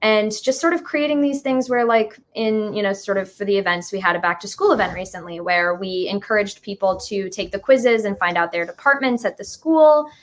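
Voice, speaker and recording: A woman's voice: mid-range voice, fairly quick, few pauses. Young woman chatting casually in an interview. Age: 20s. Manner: chatty, informal, hedging with "like" and "sort of". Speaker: musical writer and guest being interviewed.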